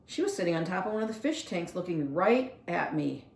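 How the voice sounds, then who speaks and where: A woman reads a storybook aloud as a library read-along. Her voice sits a little low and moves with highly expressive intonation. The recording has a noticeable room echo. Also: brisk, creaky. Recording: roomy echo.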